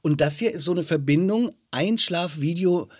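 Man co-host, slightly high voice, casual chat, dry studio sound. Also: normal speed, no room sound.

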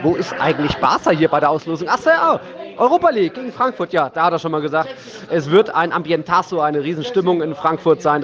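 A man's voice: a little high, highs cut off. A man co-hosting a football podcast in a casual, chatty, joking way, dropping in Spanish terms. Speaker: podcast co-host.

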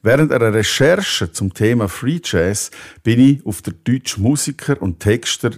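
A man's voice: medium pitch, lively intonation, normal speed. A man presenting a podcast with scripted narration in German, as its host.

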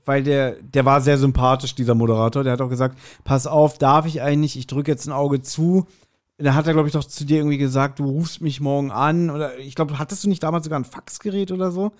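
A man's voice: mid-range voice, steady tone, fast talker. A man chatting casually as a podcast co-host, recalling stories in a loose, rambling way as he thinks aloud. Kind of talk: casual chat, personal anecdotes.

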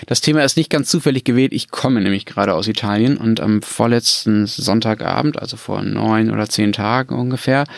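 Podcast host, male, German Standard accent, mid-pitched voice, conversational presenting, very dry recording. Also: no room sound. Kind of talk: conversational presenting, anecdotes and discussion.

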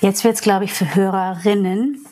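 American woman from new york, english native, speaking german, a bit husky, open and thoughtful, laughs a lot. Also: mid-range voice, moderate pace, warm tone. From New York, USA. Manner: frank, reflective, laughs easily. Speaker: interview guest. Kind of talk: candid personal interview.

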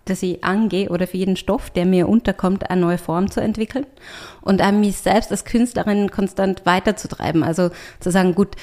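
A woman, a writer and artist, talking as an interview guest, thoughtful and searching for words, with frequent pauses and restarts. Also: mid-range voice, fairly even intonation, darkish tone. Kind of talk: reflective conversational interview.

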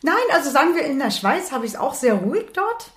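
A woman in her sixties from Berlin, a retired nurse and author, telling her life story in a calm, matter-of-fact way. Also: sits high, fairly quick. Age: 60s. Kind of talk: personal life story in conversation. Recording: roomy echo.

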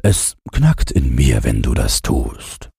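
A man voicing a naive, curious newborn snowman with a soft, questioning manner in a storybook. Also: rumbling low, huge pitch swings, slow, gravelly, slightly rough, no echo, studio quality, voice pushed down, exaggerated voice.

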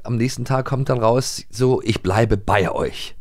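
A man's voice: medium pitch, unhurried, dry recording, clean studio sound. A middle-aged man co-hosting a podcast in casual chat, rephrasing as he thinks aloud. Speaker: podcast co-host.

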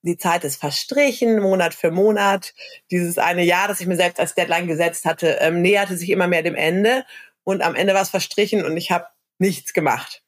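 A woman's voice: on the low side, moderate pace. A woman telling her story in an interview, self-deprecating and conversational. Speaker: course graduate.

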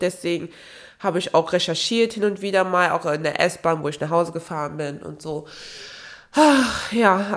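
A young woman hosting her own podcast, chatting casually with a nasal, stuffy-nosed voice, apologetic and rambling with many fillers. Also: on the low side. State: stuffy nose from a cold. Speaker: podcast host. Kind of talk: casual solo podcast chat.

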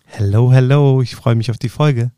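A man co-hosting a podcast, asking quick questions in an easygoing, friendly way. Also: medium pitch, steady tone, dark tone, clear, resonant tone, dry recording, clean studio sound. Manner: easygoing, laughs along. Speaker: podcast co-host. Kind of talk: casual podcast conversation.

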